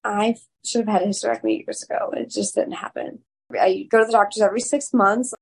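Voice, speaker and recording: A woman guest with a raspy voice, speaking loudly and frankly in a candid interview. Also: mid-range voice, fairly even intonation, a little fast. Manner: loud, frank and open.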